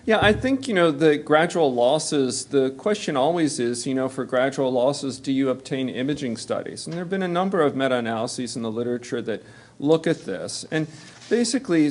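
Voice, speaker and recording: A middle-aged male physician moderating a medical panel, explaining in a measured way and often saying "you know.". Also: medium pitch, a little mellow. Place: hospital symposium hall.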